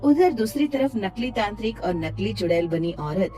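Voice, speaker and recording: A woman narrating a scripted cartoon tale as an expressive storyteller. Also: mid-range voice, moderate pace, faint music behind.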